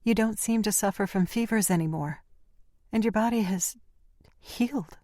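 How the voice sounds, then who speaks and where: A man's voice: sits high, normal speed, darkish tone, no room sound, voice pushed up, natural voice. A man voicing a frightened, confused young woman in an audiobook, speaking anxiously in a raised voice. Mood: fearful. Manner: anxious, questioning.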